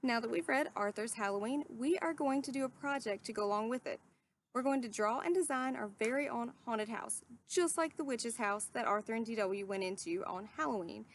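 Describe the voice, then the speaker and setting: A woman's voice: fairly high pitch, animated, fairly quick, a little hiss or hum. A young adult woman teacher reading a children's story aloud and then giving a patient, step-by-step drawing lesson. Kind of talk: read-aloud and art lesson.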